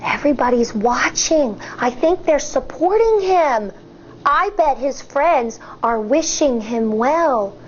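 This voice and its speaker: Animated woman teacher reading a storybook. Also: high voice, slow, dull audio, a little hiss or hum. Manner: animated, expressive and chatty with asides. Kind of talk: reading a picture book aloud to children. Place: studio in front of a blue backdrop.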